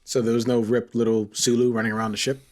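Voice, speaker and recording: A man's voice: mid-range voice, little pitch movement, quick pace, darkish tone. A man chatting casually as a podcast co-host, jokey and playfully dramatic. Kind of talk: casual chat.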